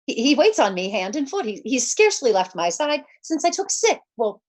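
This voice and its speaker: An actress playing a nervous, demanding invalid wife in 1940s radio suspense style, with a fretful, insistent, stammering delivery. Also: female voice, fairly high pitch, very animated, normal speed. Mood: uneasy, pleading.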